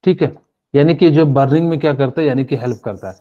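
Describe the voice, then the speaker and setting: A man's voice: medium pitch, fast talker, mellow, highs cut off. A male chemistry teacher giving a live online class, explaining step by step with many fillers and asking students questions.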